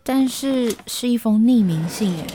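A woman playing an observant, considerate young female office worker in a radio drama, in a measured, matter-of-fact way. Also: on the high side, expressive, warm tone, slight background noise, lightly acted. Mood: curious, concerned.

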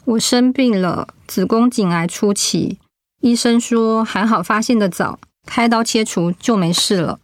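An actress playing a steady friend and wife who survived cancer, speaking earnestly in an audio drama. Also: female voice, a little high, a little slow, dry recording, lightly acted. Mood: firm, challenging.

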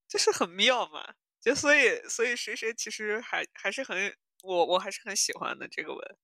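A bright, forceful-sounding woman recorded very dry. She chats casually as a podcast co-host, at an average pitch for a woman. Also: animated, normal speed, no echo. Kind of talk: casual chat about audio dramas.

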